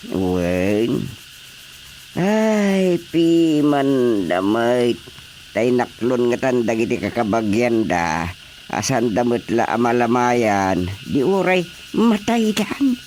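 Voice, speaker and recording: An actor playing an excitable male frontline worker in a radio drama, with exclamatory, swooping delivery. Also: mid-range voice, slow, slight background noise. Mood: earnest, resigned. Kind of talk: Ilocano radio drama acting.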